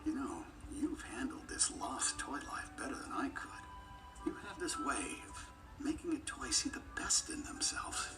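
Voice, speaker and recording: Male actor as cartoon cowboy toy, earnest, hesitant, swooping intonation, distant from mic, loud music behind. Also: medium pitch, very animated, unhurried, whispered. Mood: sincere admiration. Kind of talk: animated film read-along dialogue.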